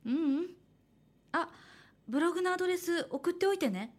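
A woman's voice: extremely high pitch, animated, fairly quick. An actress playing a cheerful young woman, an aspiring manga artist who reassures others though a little uneasy, in a Japanese horror radio drama. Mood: flustered, reassuring. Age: young adult. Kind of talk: Japanese horror radio drama acting with first-person narration.

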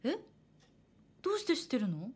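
A woman's voice: on the high side, wildly swooping pitch, a little fast, slightly rough, heavily acted. An actress playing a cheerful young woman, an aspiring manga artist who reassures others though a little uneasy, in a Japanese horror radio drama. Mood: puzzled, curious. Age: young adult. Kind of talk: Japanese horror radio drama acting with first-person narration.

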